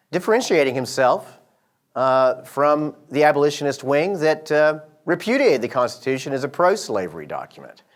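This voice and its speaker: Middle-aged guy from georgia, bit of a southern accent, lecturing, lots of uh and um. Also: medium pitch. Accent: slight Southern US. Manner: halting, many uh and um fillers. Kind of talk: lecture to an audience.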